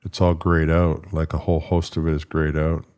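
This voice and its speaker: A man with a deep, rumbling voice hosting a casual livestream, rambling and frustrated as he fixes his stream settings. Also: completely flat delivery, moderate pace, darkish tone. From Canada. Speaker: political livestream host.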